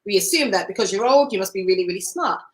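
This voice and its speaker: A woman's voice: medium pitch, animated, fast. A woman from Cameroon, an interview guest telling her story in an earnest, reflective way.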